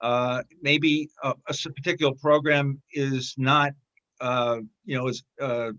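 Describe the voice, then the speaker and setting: A man speaking as a guest in a casual interview, talking haltingly with many fillers and restarts. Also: medium pitch, a bit bright, dull audio. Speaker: film distributor, interview guest.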